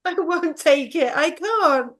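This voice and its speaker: A performer playing a pleading, sorrowful young boy in a stage drama, speaking in simple, halting phrases. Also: high voice, expressive, fairly bright tone, exaggerated voice.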